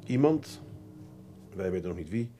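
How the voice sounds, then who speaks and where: An actor playing a grave, blunt but decent police detective in a radio drama, measured and polite in manner. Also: male voice, low voice, animated, dark tone, soft background music. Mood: grave, hesitant. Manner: measured, polite, hesitant before bad news. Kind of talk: Dutch radio play acting.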